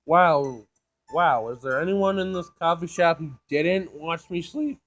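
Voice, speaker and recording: A man's voice: a little high, animated, a little slow, a bit bright. A man hosting a casual let's play, reading a visual novel aloud and reacting in informal, slangy speech. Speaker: gaming video host.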